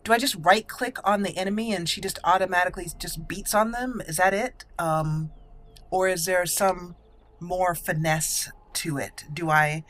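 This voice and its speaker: A woman's voice: lowish voice, a bit bright. A woman giving casual video game commentary as a let's play host, musing and talking through her choices. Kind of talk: casual gameplay commentary, thinking aloud.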